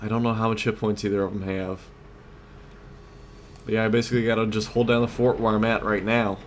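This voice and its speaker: Low-voiced man commentating on a video game. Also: level tone, a little fast. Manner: thinks aloud in short tactical remarks. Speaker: gamer, host of a let's play series. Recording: clear background game noise. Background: vehicle and battle sounds of a tank game.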